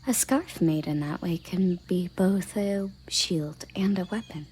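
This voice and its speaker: A woman playing a stern, haughty elven guard captain in an audio roleplay, with a soft, breathy, husky voice and a curt, condescending manner. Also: on the low side, a little slow. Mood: annoyed, commanding. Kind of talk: audio roleplay addressed to the listener.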